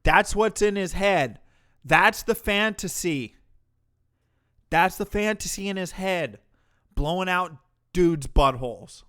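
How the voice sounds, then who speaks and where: A man's voice: high voice, lively intonation, slow pace, pauses often, a bit bright. A man hosting a livestream, reacting to a clip with mocking, profane commentary. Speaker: commentary streamer host.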